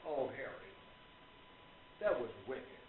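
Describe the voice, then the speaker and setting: A man playing a polite, measured gentleman who gently reproves others in a radio play. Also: mid-range voice, very animated. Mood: gently reproving. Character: gentlemanly believer in spiritualism, courteous, gently reproving. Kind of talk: stage radio play acting. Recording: tinny, echoey, distant with audience noise.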